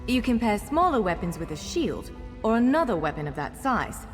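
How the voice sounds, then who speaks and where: Fantasy game guide: a courteous, wry elf woman scholar, higher-pitched and expressive, music underneath. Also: normal speed. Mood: instructive. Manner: articulate, formal but playful. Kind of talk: video game character dialogue. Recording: long hall reverb over music.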